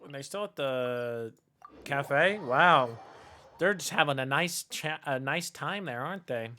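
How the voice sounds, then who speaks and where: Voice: medium pitch, animated, measured pace, sharp tone. A streamer giving casual, joking, flippant commentary over a game. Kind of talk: casual livestream gameplay commentary. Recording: faint game music behind.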